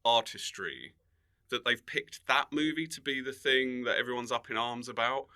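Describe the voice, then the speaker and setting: British male podcast guest, mid-pitched with a bright, forward voice, dry and tongue-in-cheek in casual chat. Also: normal speed, smooth. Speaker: podcast guest, comedian and filmmaker.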